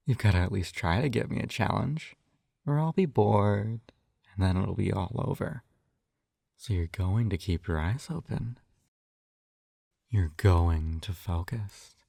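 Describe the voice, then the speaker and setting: A man playing a possessive, coaxing hypnotist captor in an intimate ASMR roleplay, with a soft velvety voice and teasing manner. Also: on the low side, no echo, studio quality, full character voice. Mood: smug, taunting. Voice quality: soft, velvety, breathy whispers at times. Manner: slow coaxing, teasing tag questions.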